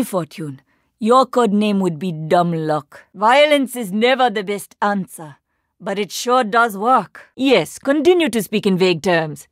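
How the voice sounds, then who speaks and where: Woman acting as proud Indian female agent, Indian accent, video game hero, precise wording, dry wit, medium pitch, studio-dry recording. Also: no room sound, close to an everyday voice. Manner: formal, precise, dryly sarcastic wording. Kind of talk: video game character voice lines.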